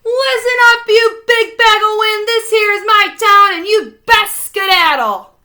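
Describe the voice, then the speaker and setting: A woman voicing an exuberant small-town Western boy who bellows drawn-out, folksy cowboy exclamations in a storybook. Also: very high voice, bright, forceful tone, voice pushed up, exaggerated voice. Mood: defiant.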